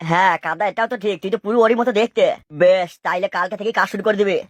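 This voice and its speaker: A woman's voice: mid-range voice, rapid-fire, no room sound, close to an everyday voice. A woman voicing a bossy, crude, scheming male mason in a comic cartoon. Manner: crude, nagging, commanding.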